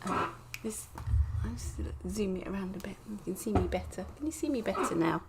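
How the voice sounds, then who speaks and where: A woman from England, chatting casually to viewers on a live stream from her desk, croaky and sniffly with a cold, often laughing. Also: medium pitch, animated, brisk, heavy background noise. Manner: chatty, giggly, greets viewers by name. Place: home desk indoors.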